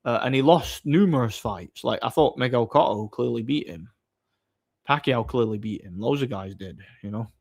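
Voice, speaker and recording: Guy streaming, mid pitched voice, blunt opinions, rambles and stumbles along casually. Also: expressive, moderate pace, creaky, a little mellow. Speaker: livestream host. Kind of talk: casual off-the-cuff chat, answering viewer comments.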